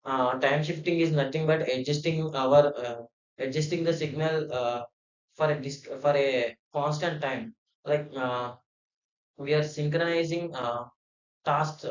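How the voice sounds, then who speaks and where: A man giving a hesitant, unscripted explanation, with many fillers and restarts. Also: fairly high pitch. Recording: roomy, dull lo-fi sound.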